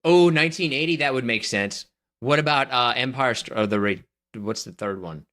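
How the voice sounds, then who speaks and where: A man's voice: mid-range voice, moderate pace, pushed and bright. A man co-hosting a casual movie podcast, bantering in an opinionated, emphatic way and needling his co-host.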